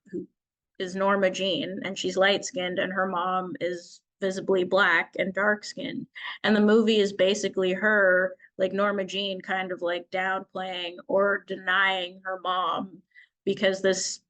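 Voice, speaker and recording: A woman's voice: medium pitch, a bit bright. A woman making informal, reflective and meandering remarks as a participant at a symposium, often saying "like". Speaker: audience participant commenting.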